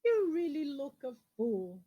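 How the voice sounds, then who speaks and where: A woman's voice: high-pitched, big pitch swings, a little slow, mellow, muffled recording, pitched above the performer's own voice. A woman voicing a boisterous, mocking young male dinosaur prankster in a children's picture book. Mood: gloating, mocking. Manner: mocking, crowing delivery.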